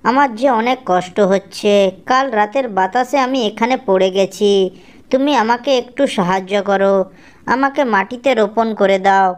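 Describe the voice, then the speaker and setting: A woman's voice: medium pitch, a little slow, lightly acted. Woman voicing a pitiful, pleading talking banana tree. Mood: pleading, pained. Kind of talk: Bengali children's cartoon dubbing.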